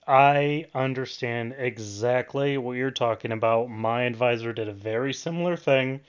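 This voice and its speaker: A man's voice: mid-range voice, moderate pace, clean tone, lo-fi sound. A young adult man speaking as the host of a student radio interview show. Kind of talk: scripted radio introduction and conversational interviewing.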